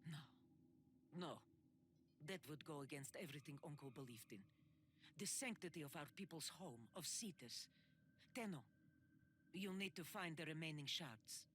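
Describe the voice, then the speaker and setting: A woman's voice: low voice, animated, normal speed, pauses often, slight background noise. An actress playing an earnest, dutiful woman scientist grieving her husband in a video game. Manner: earnest, pleading, quietly sorrowful.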